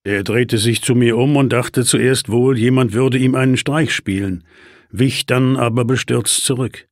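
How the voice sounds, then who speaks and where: A man reading a first-person crime story as an audiobook in a tense, measured telling. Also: medium pitch, steady tone, no echo. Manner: tense, measured storytelling with hissed and shouted quotes. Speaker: audiobook narrator. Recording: dry studio.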